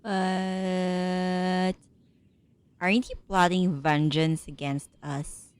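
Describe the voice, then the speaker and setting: A woman's voice: on the low side, little pitch movement, extremely slow, smooth. A woman gamer reacting casually during gameplay, interjecting and reading dialogue aloud.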